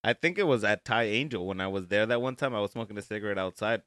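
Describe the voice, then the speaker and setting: A man's voice: on the low side, fast talker, a bit bright, no room sound. A man in his late twenties, a comedian guest on a podcast, telling rambling stories and swearing freely. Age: 20s. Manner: rambling storyteller, acts out quotes, swears freely. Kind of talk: casual comedy chat.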